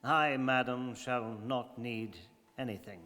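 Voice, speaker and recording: A man playing a reserved, precise English gentleman in a radio drama, speaking formally and with stoic restraint. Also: mid-range voice, steady tone, slow. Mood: grave, resigned. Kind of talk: stage radio drama acting. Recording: very reverberant studio hall.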